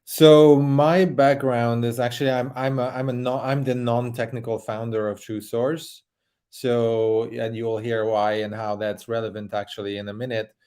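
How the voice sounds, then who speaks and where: A man's voice: medium pitch, little pitch movement, moderate pace, a little mellow, smooth. Chatty Hungarian-accented man on a video call. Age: middle-aged. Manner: chatty, frequent restarts and fillers. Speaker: startup co-founder guest. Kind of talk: casual interview talk. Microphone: video call webcam.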